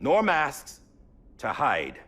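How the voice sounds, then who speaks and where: An actor playing a solemn president delivering a formal, grave, deliberate oath speech in a video game. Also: male voice, mid-range voice, wildly swooping pitch, pushed and bright, exaggerated voice. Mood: resolute, grave. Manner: grave, stately, deliberate with long pauses. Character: solemn new president giving an inaugural oath.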